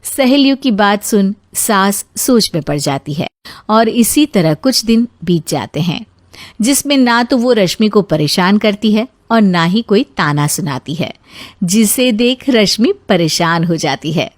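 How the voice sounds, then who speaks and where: A woman's voice: mid-range voice, expressive, unhurried, dry recording. Woman narrating a story, dry studio sound. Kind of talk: scripted moral story narration.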